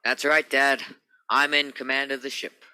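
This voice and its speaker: A man acting a bright, forceful rocket captain. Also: mid-range voice, level tone, normal speed, clear, resonant tone. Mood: happy. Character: commanding male Navy rocket captain, middle-aged, emotional. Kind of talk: radio play acting.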